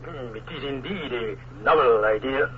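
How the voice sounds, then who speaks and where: An actor playing a calm, cautious colonel who speaks in a formal, measured way in a 1940s radio drama. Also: male voice, medium pitch, close to an everyday voice. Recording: tinny old radio broadcast.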